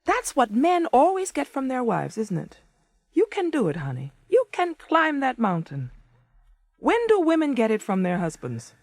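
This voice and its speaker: An actress in a 1980s radio drama playing a wistful, neglected wife with a wry, expressive manner. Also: female voice, high-pitched, moderate pace, no room sound, studio quality, exaggerated voice. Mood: bitter. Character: Carol, neglected wife and former set designer, wistful, bitter. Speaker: actress narrating and playing the lead.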